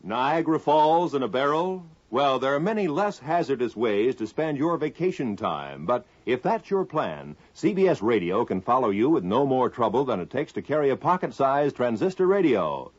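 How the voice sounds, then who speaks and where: A man's voice: mid-range voice, sing-song, moderate pace, few pauses. A man reading a scripted 1950s radio network promo in a polished, salesmanlike way. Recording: dull lo-fi 1950s radio recording.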